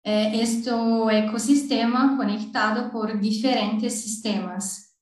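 A woman's voice: on the high side, steady tone. A woman whose first language is Portuguese, speaking hesitantly in a second language with restarts, presenting as a smart cities consultant on a video call. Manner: hesitant, halting Spanish with many restarts. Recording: roomy, distant video-call audio.

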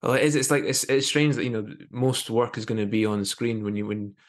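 A man in his thirties with a middle-pitched voice hosts a podcast. He speaks in a casual, conversational way over a video call from an indoor room. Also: fairly even intonation, fairly quick. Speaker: podcast host. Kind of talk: casual interview chat. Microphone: wired earphones on video call.

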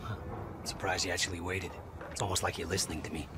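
An actor playing an intense, insistent scientist in a cinematic video game, explaining urgently and often dropping to a hush. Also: male voice, deep voice, little pitch movement, quick pace, noisy background, close to an everyday voice. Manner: urgent, explanatory, breaking into hushed whispers. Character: intense, insistent male scientist, older brother of the hero.

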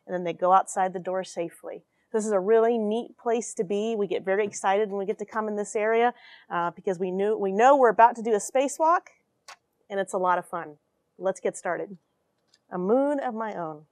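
A woman in her forties reading and explaining a story to children in an animated, questioning way from a small airlock. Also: on the high side, no room sound. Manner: animated, expressive, addresses young listeners with questions. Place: small cramped metal space station airlock.

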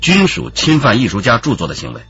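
An actor playing a shrewd, authoritative police inspector in a radio drama, low and husky, probing and wry. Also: male voice, wildly swooping pitch, fast, a bit bright, muffled recording, faint music behind, full character voice. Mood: stern lecturing. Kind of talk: Chinese radio drama acting.

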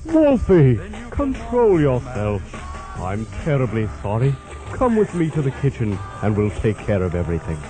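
Guy doing a suave friendly mad scientist, very up and down pitch, music underneath. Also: wildly swooping pitch, moderate pace. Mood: scolding. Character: genial, courteous, dotty mad doctor host. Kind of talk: comic horror radio play acting.

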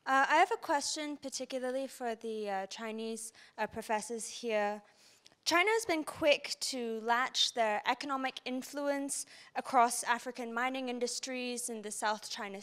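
Young student girl from malaysia, high clear voice, asking the panel a question. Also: moderate pace, a bit bright. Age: young adult. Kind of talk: audience question. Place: large conference hall.

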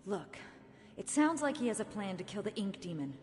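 A woman's voice: mid-range voice, sing-song, normal speed, darkish tone, slight background noise, close to an everyday voice. Woman playing an earnest young heroine, reverberant. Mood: urgent pleading. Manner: earnest, sincere. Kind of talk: video game cutscene acting. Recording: long reverb, faint music behind.

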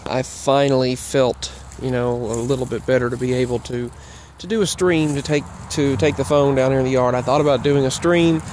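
A man giving a casual, rambling update while walking outdoors, halting and often repeating himself. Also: medium pitch, fairly even intonation, a little mellow. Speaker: vlogger. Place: outdoors, walking in a grassy yard near roads. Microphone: earbuds microphone with a phone. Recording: clear outdoor traffic noise. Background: passing traffic.

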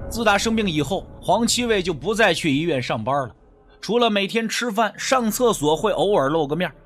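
A man reads a suspense audiobook in a high voice for a male. His pitch moves widely as he leans into dramatic storyteller phrasing. Music plays behind him. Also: a bit bright. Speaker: audiobook narrator. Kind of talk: scripted suspense story narration.

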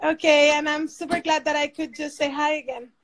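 A woman in her thirties with a high-pitched voice chats casually. She is a caller talking into a phone in her home kitchen. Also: little pitch movement, a little fast. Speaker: caller, medical interpreter. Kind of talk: casual chat. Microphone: phone at arm's length.

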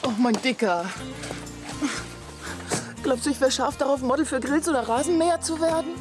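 An actress playing a middle-aged wife and mother, sharp-tongued yet fond, in a German radio play. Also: high voice, normal speed, over music, close to an everyday voice. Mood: confident.

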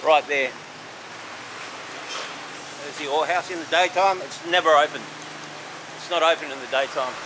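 A man giving a casual, halting walking commentary as he points out sights outdoors. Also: on the high side, fairly even intonation. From Australia. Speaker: walking tour vlogger. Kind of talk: casual walk-and-talk commentary. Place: outdoors, walking along a city street. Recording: outdoor street recording with clear traffic noise. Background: street and vehicle noise.

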